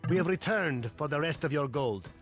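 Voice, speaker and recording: A man plays an arrogant conquistador commander in a strategy game. His fairly high voice swings widely in pitch as he issues haughty threats, with faint music behind him. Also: lively intonation, moderate pace, natural voice. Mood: menacing, smug. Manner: haughty, menacing. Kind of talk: scripted strategy game taunts.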